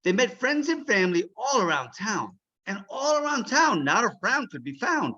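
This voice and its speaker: Animated, high-voiced man reading a storybook. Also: moderate pace, muffled recording. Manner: expressive, checks in with listeners. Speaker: author reading aloud. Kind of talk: animated children's storybook read-aloud.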